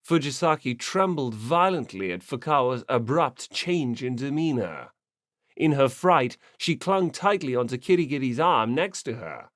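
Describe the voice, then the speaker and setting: A man narrates a scripted story for an audiobook. His pitch is middling and the sound is dry, with no room around it. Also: expressive, a little slow, a bit bright, no echo.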